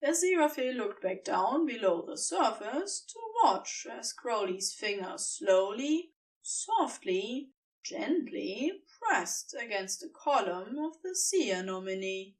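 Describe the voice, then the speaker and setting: A woman narrating scripted fiction with an expressive, tender storytelling manner. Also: on the high side, slow pace, far from the mic, rough recording.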